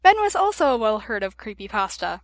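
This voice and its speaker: A woman's voice: medium pitch, sing-song, a little fast, no room sound, clean studio sound. A woman narrating a piece of fan fiction from a script, as a storyteller.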